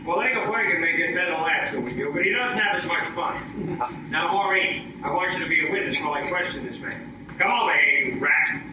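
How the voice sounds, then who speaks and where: An actor playing a glib, lecherous comic detective who wisecracks deadpan in the style of an old-time radio comedy. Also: male voice, a little high, a little fast, lightly acted. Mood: wisecracking. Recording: noisy, dull, very echoey live stage, distant.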